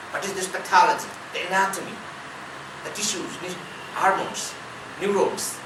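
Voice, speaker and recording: A woman teaching spirituality in a slow, measured way, stacking short phrases one after another. She sits far from the mic in a roomy space, with steady ambient noise behind her. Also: on the low side. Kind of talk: spiritual discourse.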